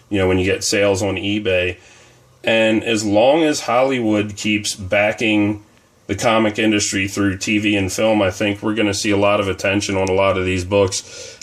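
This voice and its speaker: Guy with a cold, kinda hoarse, lowish voice, rambling about comics with lots of ums. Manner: rambling, conversational, frequent ums and uhs. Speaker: comic collecting vlogger. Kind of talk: casual talk to camera.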